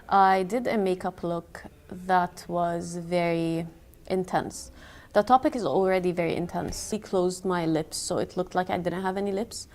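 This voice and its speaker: A young woman from Palestine speaks in a documentary interview, earnest and measured, pausing to pick her words. Her voice sits a little low for a woman. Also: darkish tone, slight background noise. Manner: earnest, measured, with thoughtful pauses. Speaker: makeup artist and activist.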